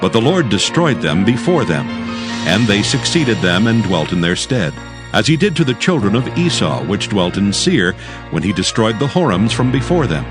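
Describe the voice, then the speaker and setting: A man giving formal, measured narration of scripture in archaic English, as a storyteller would. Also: lowish voice, big pitch swings, over music.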